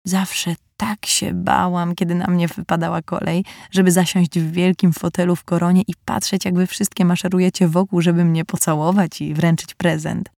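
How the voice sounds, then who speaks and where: A timid, gentle young girl with a quiet, soft way of speaking. A woman reader voices her in a low, warm tone, close and dry. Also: level tone, moderate pace, vocal fry, hardly any pauses, no room sound. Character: shy gentle thirteen-year-old girl.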